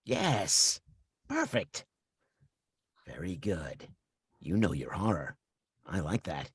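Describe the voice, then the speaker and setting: Man playing a husky, low-voiced slasher killer. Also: big pitch swings, no room sound, clean studio sound, full character voice. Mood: mocking. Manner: sinister, taunting, deliberate. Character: menacing masked slasher killer taunting a victim by phone. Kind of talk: slasher film table read.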